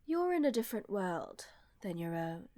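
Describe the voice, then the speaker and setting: A woman's voice: medium pitch, sing-song, moderate pace, mellow, no echo, lightly acted. A woman playing a blunt, pragmatic empress with a dry, sardonic, haughty manner in a fantasy audio roleplay. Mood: brisk, dry.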